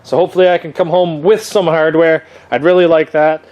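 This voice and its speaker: A man's voice: on the high side, little pitch movement, a little fast, a little mellow. A young man with a stuffy, sniffly cold, chatting casually in a vlog in a laid-back, rambling way with lots of fillers. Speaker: demolition derby builder and vlogger. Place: home workshop or garage.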